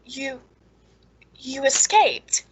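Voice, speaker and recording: A woman's voice: high voice, lively intonation, extremely slow, slightly rough, exaggerated voice, rough recording. An actress playing a lonely, eager, nervously polite woman in an old-time radio suspense drama, hesitant and genteel. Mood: shocked, anxious.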